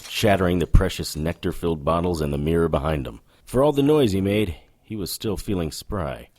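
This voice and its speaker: Casting: a gruff, very low-voiced tough guy, terse and sardonic, narrating noir-style audio drama. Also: lively intonation, a little fast, darkish tone. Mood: wry, hard-boiled. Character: gruff, hard-drinking, wry male brawler narrating his own story. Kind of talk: hard-boiled first-person audio drama narration.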